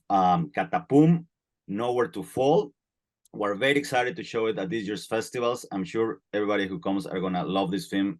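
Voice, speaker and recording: A man with a fairly low voice interviews a filmmaker for a film festival, tripping over the first words of his questions. He warms into easy promotion of the screening. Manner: stumbling, repeats words when starting questions. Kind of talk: casual interview.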